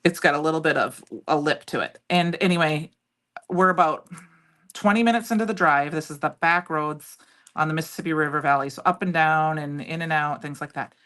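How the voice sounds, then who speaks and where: A middle-aged woman with a loud voice, chatting and rambling as she demonstrates a craft project live and answers viewers by name. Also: low-pitched, a little fast. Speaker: crafting livestream host. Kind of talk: casual chat while demonstrating crafts.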